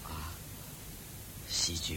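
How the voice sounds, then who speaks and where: An actor playing a humble monk with an upset stomach, speaking softly and apologetically in a radio play. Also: male voice, very slow, heavily acted, low-quality recording. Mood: meek. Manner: soft, apologetic, sometimes whispery. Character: meek humble male monk, ailing. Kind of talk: Buddhist radio drama acting.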